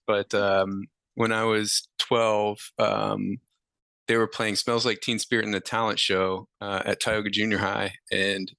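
A man in his thirties, a musician guest, answering interview questions in a relaxed, rambling way with many fillers. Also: fairly low pitch, gravelly, no echo.

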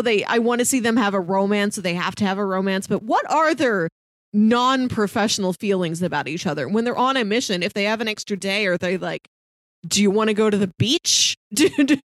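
A woman's voice: mid-range voice, dry recording. A woman podcast co-host in a casual film discussion, chatty and musing aloud. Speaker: podcast co-host reviewing films.

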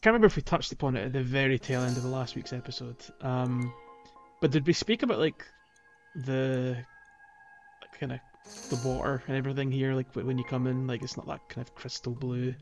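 A man's voice: mid-range voice, fairly quick, soft background music. A man co-hosting a casual gaming podcast, often hesitant and searching for words as he chats. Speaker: podcast co-host.

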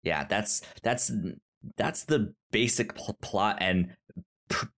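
A man's voice: on the low side, slow pace, a bit bright. A man hosting a podcast in casual conversation, with a stutter that repeats sounds and words. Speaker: podcast host.

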